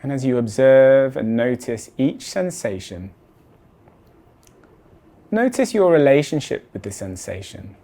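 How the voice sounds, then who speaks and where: A man leading a guided body scan meditation in a measured, deliberate way, letting his phrase endings fall soft. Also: mid-range voice, sing-song.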